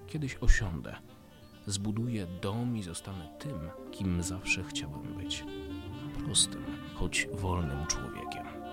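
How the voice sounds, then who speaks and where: A man playing a weary, embittered survivor in a dystopian radio drama, narrating in a measured, brooding literary way. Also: deep voice, wildly swooping pitch, loud music behind the voice, heavily acted. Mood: quietly resolute. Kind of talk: scripted first-person radio drama monologue.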